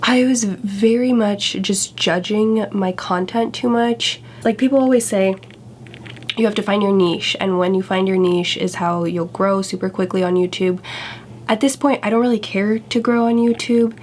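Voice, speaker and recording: A woman's voice: medium pitch, normal speed, darkish tone, slight background noise. A young woman vlogger sounding congested from a cold, talking in a chatty, candid, self-deprecating way. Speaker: YouTuber. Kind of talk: casual vlog chat.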